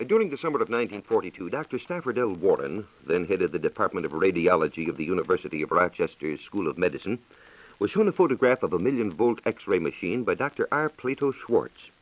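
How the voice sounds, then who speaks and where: A man's voice: deep voice, lively intonation, no room sound. An American man explaining science formally as a reporter on a scripted 1940s radio program, in a dated lo-fi recording. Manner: formal, explanatory, measured diction.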